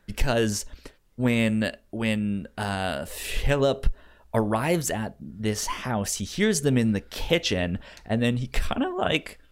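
A man with a slightly low voice chats casually as a podcast co-host about a film. He speaks slowly and haltingly, often catching on a syllable and repeating it before he goes on. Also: very animated.

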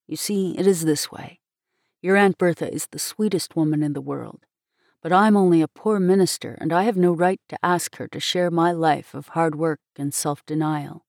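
A gentle young minister from a storybook, played by a woman reader who lowers her voice. He is courteous and reserved, with a measured, melancholy way of speaking. The sound is dry. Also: vocal fry, no room sound. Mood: gentle sadness.

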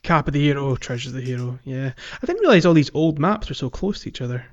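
A man's voice: mid-range voice, lively intonation, fast. A man co-hosting a podcast, chatting casually about a game and thinking aloud in a hesitant way. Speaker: podcast co-host. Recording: dull lo-fi audio with music.

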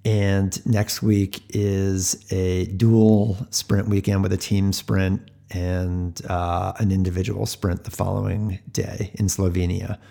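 Gravelly, low-voiced man hosting casually. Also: level tone, slow pace, hardly any pauses, dark tone. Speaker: podcast host. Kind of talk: casual sports podcast conversation.

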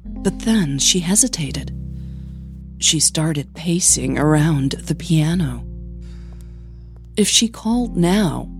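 A woman's voice: deep voice, expressive, slow pace, pauses often, faint music behind. A woman narrating scripted audio fiction as the storyteller.

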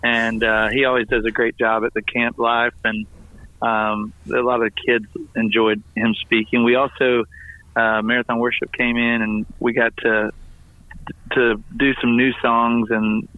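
A slightly hoarse man calls in over a tinny, narrow phone line. He is a pastor reporting on his week with upbeat enthusiasm. He rambles warmly through a lot of "um"s, and the sound is dry and close. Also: medium pitch, little pitch movement, a little slow, no room sound. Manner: upbeat, enthusiastic storytelling with fillers. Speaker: family ministries pastor. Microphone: phone call.

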